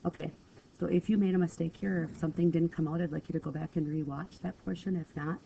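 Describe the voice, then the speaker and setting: A woman math teacher talks students through a screen lesson, stuffy from a cold, her voice mellow and gravelly. She explains patiently and apologizes easily. The recording is dull and lo-fi, with a faint hiss behind it. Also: deep voice, fairly even intonation, fast.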